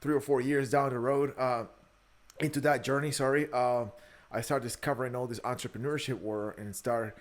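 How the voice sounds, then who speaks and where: A man's voice: medium pitch, steady tone, moderate pace. A man in his early thirties from Guatemala, a native Spanish speaker with a Guatemalan accent, telling his story candidly as an interview guest, often repeating words as he goes. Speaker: podcast guest, entrepreneur. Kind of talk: conversational interview, personal storytelling.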